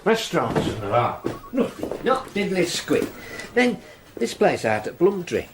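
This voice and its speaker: Chatty detective,, animated intonation, clipped colloquial lines, faint ambience behind. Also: male voice, high voice, a little slow, background noise. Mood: brisk. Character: chatty, colloquial male police detective reporting leads. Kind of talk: naturalistic radio drama acting.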